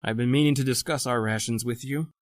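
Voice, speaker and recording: A man performs an educated castaway doctor for a radio horror play. His speech is formal and oratorical, with a proud, obsessive edge. The voice sits at a middle pitch in a very dry recording. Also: fairly even intonation, brisk, no echo, natural voice. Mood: firm. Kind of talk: old-time radio horror drama acting.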